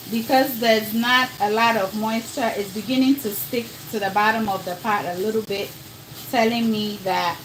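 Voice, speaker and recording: Slow-talking woman, accent between Ghanaian and American. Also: on the high side, slight background noise, rough recording. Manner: chatty, talks straight to viewers, calls them y'all. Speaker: cooking livestream host. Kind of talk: casual live cooking chat.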